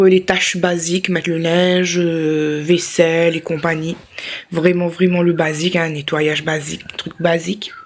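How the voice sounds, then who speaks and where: A woman's voice: lowish voice, breathy edge. Woman vlogging with a sore throat. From Réunion. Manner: rambling, many restarts and fillers. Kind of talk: casual vlog chat to camera.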